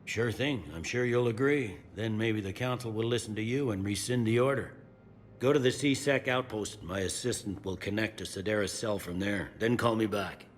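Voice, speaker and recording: An actor playing a stubborn, weary police commander in a video game, plain-spoken, firm and wry. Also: male voice, moderate pace, natural voice. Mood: grave, insistent. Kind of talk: dramatic video game dialogue. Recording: faint low rumbling noise behind voice.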